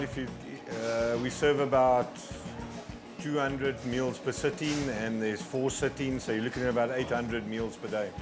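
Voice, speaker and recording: Man, chef, casual practical talk, mid pitch, music behind. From South Africa. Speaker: ship's chef. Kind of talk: casual explanation to camera. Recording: music under the voice.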